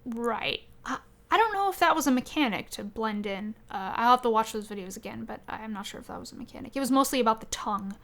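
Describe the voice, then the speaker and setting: A woman's voice: a little high, lively intonation, fast talker, fairly bright tone. A woman co-hosting a podcast, chatting casually about video games in an enthusiastic, rambling way. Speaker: podcast co-host.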